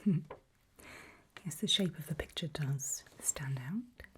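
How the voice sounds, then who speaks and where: Calm female doctor, played by a woman for ASMR roleplay: unhurried breathy whisper, lowish pitch, patient and reassuring. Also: a little hiss or hum, heavily acted. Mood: gentle, reassuring. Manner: slow, gentle, reassuring. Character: calm reassuring female doctor running a creepy memory test.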